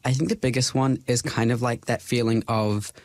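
A young man with a New Zealand accent, a podcast guest talking in a relaxed, thoughtful way with frequent fillers. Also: medium pitch, normal speed, dry recording. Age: young adult. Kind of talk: casual interview chat.

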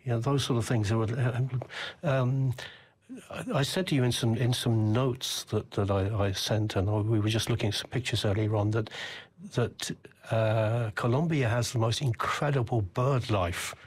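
A man's voice: medium pitch, fairly even intonation, moderate pace, breathy edge. An older man from the UK, interviewing a guest with rambling, reflective questions. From United Kingdom. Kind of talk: conversational interview.

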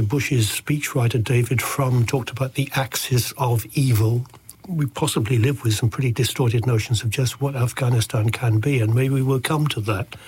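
A man hosting a podcast interview, with a deep, comforting voice, asking long, measured and thoughtful questions. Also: a little mellow, husky. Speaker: podcast host. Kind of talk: interviewing a guest.

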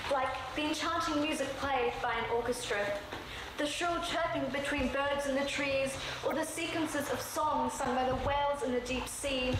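A teenage girl from Sri Lanka delivers a competition speech with a high voice and a measured, poetic rhythm. She is recorded in a large, strongly echoing hall. Steady background noise sits under her words. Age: teens. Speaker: student speaker.

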